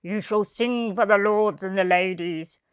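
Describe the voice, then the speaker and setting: A woman's voice: medium pitch, measured pace, clear, resonant tone, no room sound, pitched below the performer's own voice, natural voice. A woman voicing a sly, greedy old male circus boss in a children's storybook. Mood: oily, boastful. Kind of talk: children's picture book villain voice.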